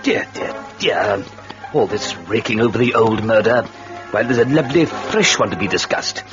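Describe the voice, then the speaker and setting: An actor playing a chatty, gleefully morbid devil who hosts a radio horror tale with fussy, gloating asides. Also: male voice, lowish voice, expressive, moderate pace, lightly acted. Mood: gleeful, mocking. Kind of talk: old radio horror host acting. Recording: dull lo-fi radio, music behind.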